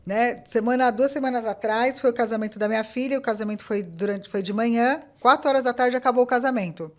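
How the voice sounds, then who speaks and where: A woman, a podcast guest telling stories in a casual, animated way and acting out quoted talk. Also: a little high, quick pace. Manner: animated, acts out quoted dialogue, many fillers. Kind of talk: casual interview storytelling.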